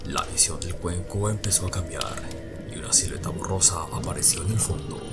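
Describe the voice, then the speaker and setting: A man narrating a scripted story for an audio drama, measured, with dramatic pauses. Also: low-pitched, creaky, whispered, over loud music.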